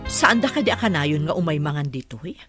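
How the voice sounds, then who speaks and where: A woman's voice: deep voice, sing-song, a little fast, over music. A woman playing an anxious older mother in an Ilocano radio drama, speaking plaintively with sighs and earnest longing.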